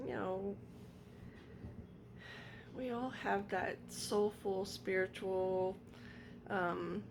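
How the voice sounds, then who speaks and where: A woman vlogger losing her voice, talking slowly and reflectively with many pauses. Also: lowish voice, creaky, background noise. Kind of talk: casual vlog chat.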